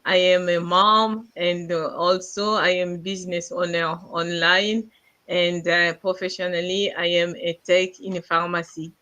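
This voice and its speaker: Woman from congo says hi, lowish bright voice, muffled lo-fi sound. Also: level tone, a little slow. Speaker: pharmacy tech, guest on the call. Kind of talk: casual self-introduction. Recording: dull lo-fi audio.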